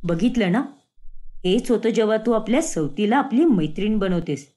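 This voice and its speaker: A woman voicing a sneaky young husband in a cartoon story, pitching her voice low. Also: lively intonation, moderate pace, mellow, lightly acted. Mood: uneasy. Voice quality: lowered voice.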